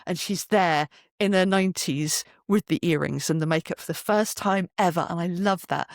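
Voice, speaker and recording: A middle-aged guest on a podcast speaks in an emphatic, storytelling way. The voice sits at a medium pitch and is recorded dry, with very little room sound. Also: no echo. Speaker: interview guest, voice actor and poet. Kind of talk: conversational podcast interview.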